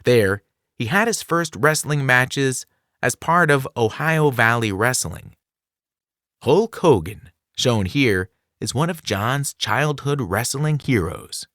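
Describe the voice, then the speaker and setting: Man, children's audiobook narration, animated pitch, unhurried pace, expressive, clearly enunciated. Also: no room sound, studio quality. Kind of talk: scripted children's non-fiction read-aloud.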